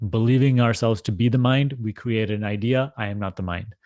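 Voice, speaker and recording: A man with a fairly low voice leads a guided meditation in a dry, close sound. He is measured and deliberate, often repeating a phrase and leaving space between thoughts. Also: fairly even intonation, a little mellow, dry recording. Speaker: meditation teacher leading a guided meditation. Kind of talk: guided meditation instruction, spiritual talk.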